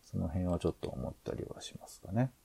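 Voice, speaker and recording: Male podcast co-host, low and mellow, slightly husky, rambling casually through ideas. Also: sing-song, quick pace, no echo. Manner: hesitant, rambling, thinking aloud. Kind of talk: casual planning discussion.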